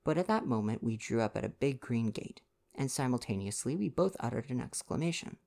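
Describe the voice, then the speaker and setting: Gentle, lively bedtime reader with creaky voice. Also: male voice, mid-range voice, brisk. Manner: gentle, expressive, intimate. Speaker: ASMR roleplay performer reading aloud. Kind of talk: gentle bedtime ASMR reading of a novel.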